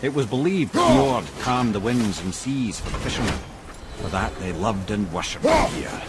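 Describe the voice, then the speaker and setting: An actor playing a wry, talkative, learned old companion who spins colourful lore in a video game. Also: male voice, mid-range voice, wildly swooping pitch, moderate pace. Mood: wry storytelling. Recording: game ambience noise behind voice.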